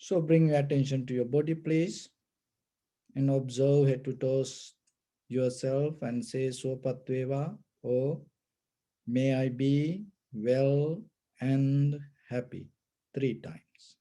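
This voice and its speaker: A man teaching Buddhist meditation, giving gentle, measured guided instructions in short phrases with pauses. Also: mid-range voice, steady tone.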